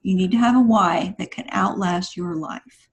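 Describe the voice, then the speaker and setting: A woman's voice: medium pitch, normal speed. A woman speaking as a podcast guest, giving conversational advice in an earnest, emphatic and encouraging way. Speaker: guest writer.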